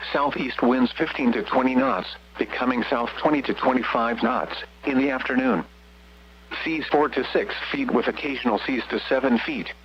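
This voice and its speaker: Male automated weather radio announcer, mid-pitched, reading bulletins formally in clipped phrases through a dull, lo-fi broadcast. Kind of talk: scripted marine forecast and advisory bulletin. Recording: muffled, noisy weather radio with hum.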